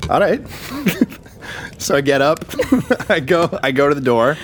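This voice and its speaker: A man improvising casually as a player in a tabletop game, halting and jokey. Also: fairly high pitch, a little hiss or hum. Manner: halting, joking.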